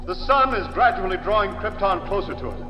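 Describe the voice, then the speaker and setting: An actor playing a grave, impassioned alien scientist who warns of doom in urgent, declamatory 1940s radio drama style. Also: male voice, sits high, expressive, brisk. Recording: echoey hall on dull 1940s radio recording, music behind.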